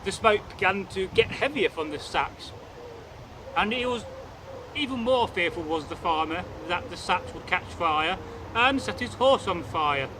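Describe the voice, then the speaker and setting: A man telling a folk tale as a storyteller, in a deliberate, repetitive way with old-fashioned phrasing. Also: high-pitched, animated, background noise. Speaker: channel host and storyteller.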